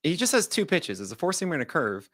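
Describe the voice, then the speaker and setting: Bright-voiced man hosting a podcast. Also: a little high, very animated, no echo, studio quality. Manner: jokey, quick asides, trails off and restarts. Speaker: podcast host. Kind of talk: casual baseball analysis chat.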